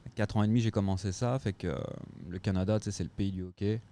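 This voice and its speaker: A French man with a light Québécois touch, telling his story in a casual chat as a podcast guest, with lots of pauses and filler sounds. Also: on the low side. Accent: French with a picked-up Québécois touch. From France. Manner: relaxed, lots of filler hesitations. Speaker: guest, co-owner of a restaurant.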